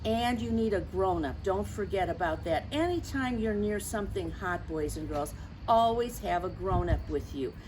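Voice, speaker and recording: A woman reading a picture book aloud to young children, chatting to them directly with questions and gentle reminders in a teacherly way. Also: mid-range voice, animated, moderate pace, poor audio. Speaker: story time reader for children. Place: outdoors near lawns being mowed. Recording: outdoor noise, mower and birds.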